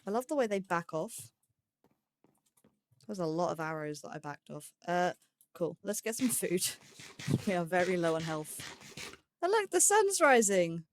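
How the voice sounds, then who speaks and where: A woman's voice: on the low side, big pitch swings, a little slow, pauses often, a little hiss or hum. A woman giving casual let's play commentary, musing aloud and questioning herself as she goes. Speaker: host of a gaming let's play series.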